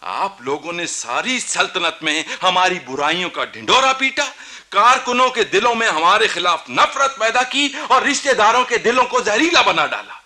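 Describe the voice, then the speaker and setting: An actor playing a proud, embittered young Nawab in a historical radio drama, with imperious, rhetorical courtly speech. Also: male voice, sits high, lively intonation, normal speed, bright, forceful tone, heavily acted. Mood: bitter, aggrieved. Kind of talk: theatrical Hindi radio historical drama acting.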